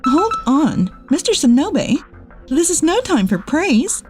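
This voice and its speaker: A woman's voice: high voice, big pitch swings, slow, music in the background, full character voice. A woman voicing assertive office women in a dubbed comic drama. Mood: indignant. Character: bitter, bossy female ex-boss, and calm capable female employee.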